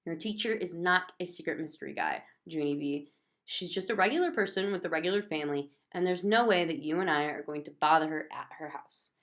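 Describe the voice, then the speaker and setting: A woman's voice: low-pitched, a little fast, lightly acted. Woman voicing a mother, children's storybook, firm, sensible, everyday voice.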